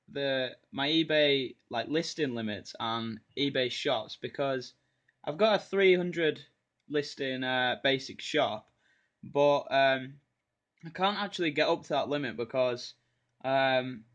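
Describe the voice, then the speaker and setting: Male online seller-vlogger, mid pitch, informal and slightly hesitant delivery, conversational. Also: a little slow, fairly bright tone, lo-fi sound. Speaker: YouTuber and eBay seller. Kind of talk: casual vlog talk and phone call.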